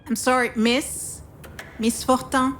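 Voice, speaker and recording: An actress playing a frank, tactful hospital doctor in a dramatic game, measured, serious and sympathetic. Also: female voice, high-pitched, flat delivery, a bit bright, slight background noise. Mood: apologetic, focused. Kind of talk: naturalistic dramatic game voice acting.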